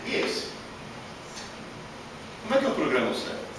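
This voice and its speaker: A man giving a workshop lecture to an audience, animated and emphatic, with many rhetorical questions, speaking Portuguese as a learned language. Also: medium pitch, moderate pace. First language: not Portuguese. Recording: echoey hall from far off, noisy.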